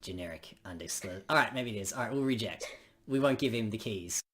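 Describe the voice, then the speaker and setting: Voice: mid-range voice, fast talker. A woman chatting casually on a live stream as they explain a visual novel game.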